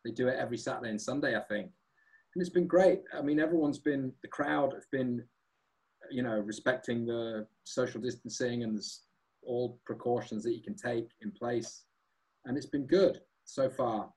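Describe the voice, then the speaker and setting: Relaxed male singer chatting as guest. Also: medium pitch, moderate pace, low-quality recording. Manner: relaxed, rambling, self-deprecating. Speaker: guest, singer and guitarist in a band. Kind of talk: casual chat.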